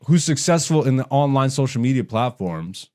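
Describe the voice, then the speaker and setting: A man with an average-pitched voice hosts a casual comedy podcast. He rants bluntly in loose slang and swears freely as he goes. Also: expressive, normal speed, darkish tone. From raised in Canada. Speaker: stand-up comedian and podcast host.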